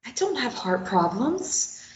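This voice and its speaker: A woman reading the part of a defiant, sharp-tongued young woman held captive, snapping back and pitching up when pressed. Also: big pitch swings, slow pace. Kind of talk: amateur table read. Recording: roomy, muffled lo-fi recording.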